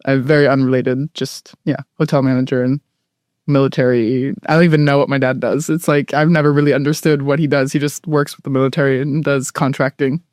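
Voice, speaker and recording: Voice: mid-range voice, level tone, brisk, darkish tone, dry recording, clean studio sound. A guest in their late twenties from Southern California, who speaks with an American accent and chats casually, laughing easily and often saying "like" and "um". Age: late 20s. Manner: chatty, laughs easily, fillers like "like" and "um". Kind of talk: casual interview chat.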